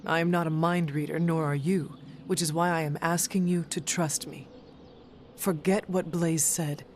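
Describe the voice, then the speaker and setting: A performer voicing a calm, earnest resurrected warrior in a video game, with formal, measured, old-fashioned speech. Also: medium pitch, a little hiss or hum, natural voice. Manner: formal, measured, avoids contractions.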